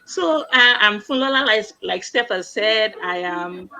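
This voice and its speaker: Bright-voiced woman explaining. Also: medium pitch, animated, unhurried, low-quality recording. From Nigeria. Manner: plain explanatory, repeats key phrases. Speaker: medical doctor, panel guest. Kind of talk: explaining to a live online audience.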